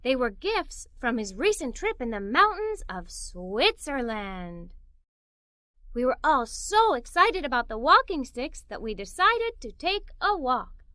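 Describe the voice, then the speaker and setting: A woman reading a children's story aloud in the voice of a young girl narrator, with a theatrical, expressive delivery. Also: very high-pitched, dry recording.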